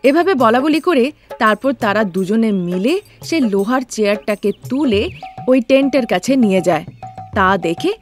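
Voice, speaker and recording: A woman's voice: a little high, lively intonation, moderate pace, soft background music. A woman narrator telling a cartoon story in a scripted storytelling style.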